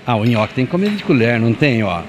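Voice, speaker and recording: A man's voice: mid-range voice, big pitch swings, fast talker, slight background noise. A middle-aged Brazilian man, a restaurant owner, chatting casually and explaining things informally as an interview guest. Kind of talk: casual chat. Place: restaurant dining room, indoors. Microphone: shared handheld reporter microphone.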